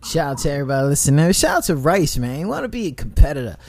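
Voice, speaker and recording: A man with a high voice hosting a casual radio chat, animated and profane, giving shout-outs and often repeating himself. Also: very fast, no room sound. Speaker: internet radio host.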